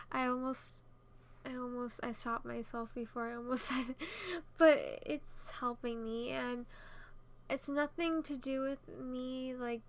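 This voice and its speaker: A young woman of nineteen with a high voice talks casually over a gaming video. She rambles in a chatty, giggly way, often second-guessing herself and wandering off into side remarks. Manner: chatty, giggly, self-conscious and digressive. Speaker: YouTube gamer narrating a speed build.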